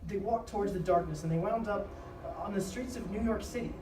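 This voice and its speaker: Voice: mid-range voice, a little fast. A narrator reading scripted dramatic narration live on stage. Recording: echoey live stage, distant, clear noise.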